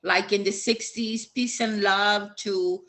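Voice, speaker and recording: A woman's voice: mid-range voice, steady tone, slow pace, fairly bright tone. A woman from Honduras, a fashion student, telling her personal story with many hesitant fillers.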